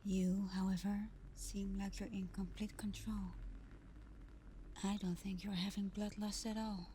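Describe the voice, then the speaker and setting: Slow, hushed vampire girlfriend, acted by a woman. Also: mid-range voice, flat delivery, slight background noise, lightly acted. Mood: soothing, welcoming. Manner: soft, breathy, half-whispered, unhurried. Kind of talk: intimate ASMR roleplay.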